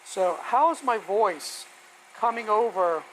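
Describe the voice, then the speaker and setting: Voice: mid-range voice, takes it slowly, low-quality recording. A hobby woodworker chatting casually on a live stream, rambling and thinking aloud in a folksy way while working. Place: home woodworking workshop. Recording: steady vacuum noise behind voice. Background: shop vacuum running.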